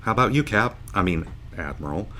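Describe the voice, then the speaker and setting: A man's voice: on the low side, lively intonation, fairly quick, sharp tone, slight background noise. A man acting in an audio drama as a jovial, bewildered starship pilot just woken from a long sleep, informal and earnest. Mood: curious. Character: jovial, bewildered starship pilot waking after seventeen years asleep.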